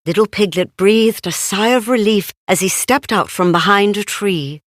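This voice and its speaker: A woman reading a children's storybook aloud in an expressive, deliberate way. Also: medium pitch, dry recording, clean studio sound. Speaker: storybook narrator. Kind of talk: scripted read-aloud children's story narration.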